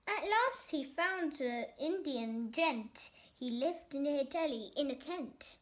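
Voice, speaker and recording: A child storyteller giving an expressive reading of a rhyming story. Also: sits high, takes it slowly.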